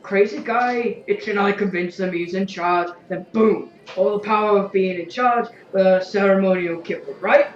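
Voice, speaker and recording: A woman acting as a brash, scheming space officer in an audio drama. She talks in a flippant, slangy way at a medium female pitch. The sound is roomy and a bit dull, with music underneath. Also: normal speed, few pauses, natural voice.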